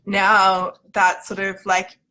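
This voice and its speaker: Bright-voiced Australian woman chatting informally. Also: medium pitch, monotone, takes it slowly, low-quality recording. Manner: chatty, loosely structured, casual. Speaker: company representative hosting a livestream Q&A. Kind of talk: informal livestream explainer.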